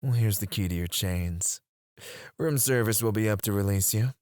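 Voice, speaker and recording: A man voicing a teasing, menacing male captor who interrogates a prisoner in an ASMR roleplay, in a slow, intimate voice with soft chuckles and whispers on a close mic. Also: fairly low pitch, a little hiss or hum, natural voice.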